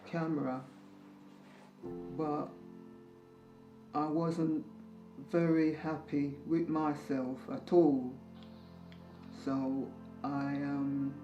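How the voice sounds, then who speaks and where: A woman in her sixties telling her own story in an interview, slow and searching, pausing often. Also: deep voice, level tone, over music. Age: 60s. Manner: slow, searching, with pauses and soft laughs. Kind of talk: reflective personal storytelling. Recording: roomy, slightly dull recording.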